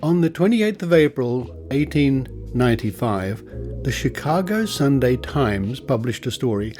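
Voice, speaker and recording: A man with an Australian accent hosting a podcast, narrating in a deliberate, emphatic storytelling way with asides. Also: medium pitch, sing-song, darkish tone. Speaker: podcast host. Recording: music behind the voice. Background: music.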